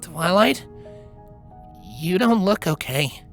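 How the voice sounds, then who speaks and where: A man's voice: high-pitched, expressive, takes it slowly, vocal fry, fairly bright tone, soft background music, pitched above the performer's own voice, full character voice. A man voicing a frightened small young male dragon with a worried, questioning manner in a story reading.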